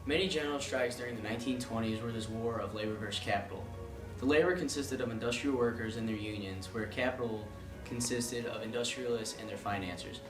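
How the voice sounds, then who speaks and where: A man's voice: medium pitch, a little fast, recorded from a distance. Guy reading news script formally, off mic and echoey, music under. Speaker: news correspondent.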